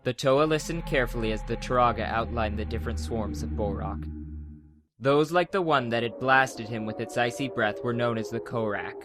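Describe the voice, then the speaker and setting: A man's voice: mid-range voice, moderate pace. A man narrating a fantasy adventure story as a scripted, dramatic audiobook. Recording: music behind the voice.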